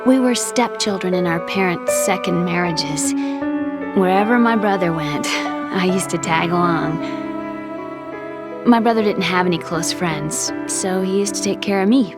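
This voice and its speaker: A woman's voice: on the low side, measured pace, natural voice. A woman playing an earnest, emotional young woman, a vulnerable younger sister, in a dramatic video game cutscene. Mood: wistful. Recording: loud music under the voice.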